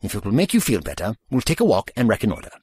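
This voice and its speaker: An actor playing an eccentric, absent-minded old time traveler with fussy, courtly phrasing in an audio drama. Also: male voice, lowish voice, wildly swooping pitch, rapid-fire, warm tone, slightly rough, no echo, full character voice. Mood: fussy, breezy.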